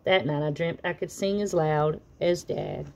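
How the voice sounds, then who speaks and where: A woman reading a children's picture book aloud as an expressive narrator. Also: fairly low pitch, a little slow, a little hiss or hum. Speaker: storybook reader.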